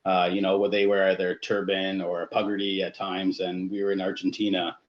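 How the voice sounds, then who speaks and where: A man's voice: low voice, completely flat delivery, a little fast. A man guest-hosting a panel discussion as a coach, speaking hesitantly with many fillers. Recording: echoey, dull lo-fi sound.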